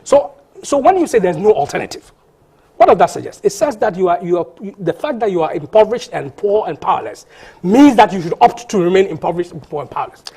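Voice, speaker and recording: A man's voice: high voice, expressive, quick pace, warm tone, slightly rough. A man, a panel guest arguing insistently in a heated TV debate, often restarting and repeating words.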